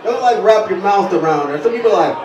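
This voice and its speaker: A man doing a stand-up comedy set, ordering the crowd around with quick commands. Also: high voice, expressive, roomy sound, recorded from a distance, lo-fi sound. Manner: addresses the crowd with direct commands. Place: small bar stage.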